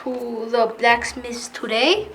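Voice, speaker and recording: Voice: medium pitch, takes it slowly, a bit bright, a little hiss or hum. A female performer playing a courteous rhyming knight in a children's reader's theater play. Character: cheerful, courteous rhyming knight of a fantasy kingdom.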